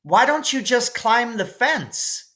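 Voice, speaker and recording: Man as young tomboy girl, forthright, friendly, higher pitch, audiobook character. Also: animated, takes it slowly, sharp tone, full character voice. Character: self-assured, friendly tomboy girl of about ten.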